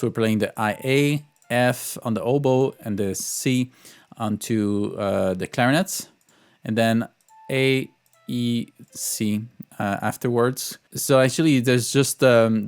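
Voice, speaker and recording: A man giving an informal music tutorial as a composer, thinking aloud in a halting way. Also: medium pitch, a little mellow, clear, resonant tone. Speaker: composer teaching orchestration.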